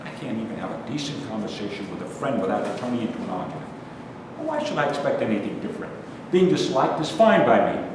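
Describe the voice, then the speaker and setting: A man's voice: fairly low pitch, very animated, fast talker. A man acting a bitter, aggrieved middle-aged character in a staged play reading, stammering and argumentative. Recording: echoey hall, distant mic, faint noise.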